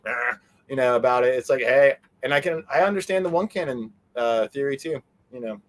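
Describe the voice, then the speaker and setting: A man being interviewed, chatting informally and haltingly, often breaking off his own sentences. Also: mid-range voice. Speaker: interview guest. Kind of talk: casual conversational interview chat.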